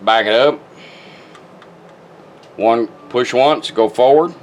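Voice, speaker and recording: A man's voice: medium pitch, slow pace, slight background noise. A man giving a casual, folksy hands-on product demonstration in plain words and short step-by-step instructions. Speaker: car radio restorer giving a product demonstration. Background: car radio playing news and music.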